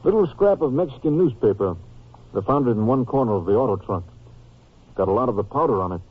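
An actor playing a grave, businesslike American Ranger captain in a 1950s radio drama, with a clipped, sober delivery. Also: male voice, mid-range voice, expressive, fast talker, slightly rough. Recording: tinny narrow 1950s radio recording.

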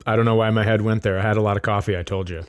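A man's voice: lowish voice, fairly even intonation, racing. A man co-hosting a comedy podcast, chatting casually in a dry, hesitant way.

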